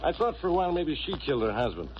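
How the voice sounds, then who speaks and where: Blustering radio police inspector, muffled recording. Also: male voice, on the high side, racing. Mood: blustering, musing. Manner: blustering, sarcastic. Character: gruff, blustering, suspicious male police inspector. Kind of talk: 1940s radio detective drama acting. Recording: muffled old radio recording, steady hiss.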